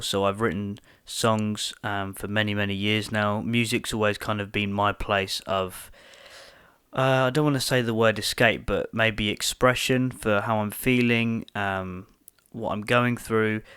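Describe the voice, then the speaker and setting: A young man co-hosting a casual podcast chat at home, thoughtful and hesitant, slightly croaky from a cold. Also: on the low side, a bit bright, no room sound, clean studio sound. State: hoarse from a cold. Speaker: podcast co-host. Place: small music room at home.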